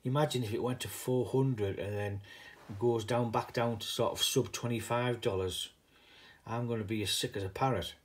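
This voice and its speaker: A middle-aged man from the northeast of England speaks in a Teesside accent at a medium pitch. He hosts a casual home vlog without a script, wandering off the point and back again as he goes. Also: a little mellow. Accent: northeast English, Teesside. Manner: rambling, digressive. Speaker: video host, hobbyist coin stacker.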